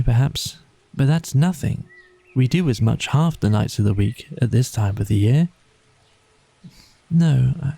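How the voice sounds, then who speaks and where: A man voicing a weary, gentle male mole in a storybook, drowsy and wistful in manner. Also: medium pitch, creaky, no echo.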